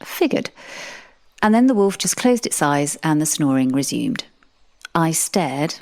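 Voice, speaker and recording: A woman's voice: deep voice, sing-song, a little slow, a little mellow. A woman narrating a comic audio drama in the first person, with a dry, sardonic manner.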